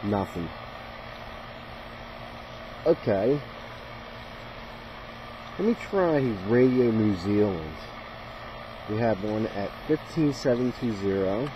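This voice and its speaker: A man talks casually as an amateur radio hobbyist, in a fairly low voice at a very slow pace. He is informal and halting, feeling his way through each phrase. Clear background noise runs behind him. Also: lively intonation, dull audio. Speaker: shortwave radio hobbyist and video host. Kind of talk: casual narration of radio tuning.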